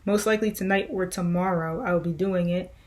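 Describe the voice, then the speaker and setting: A woman's voice: fairly low pitch, fairly even intonation, a little fast. A young woman musician answering viewer questions in a loose, rambling way, with many fillers and restarts. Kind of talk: casual Q&A vlog.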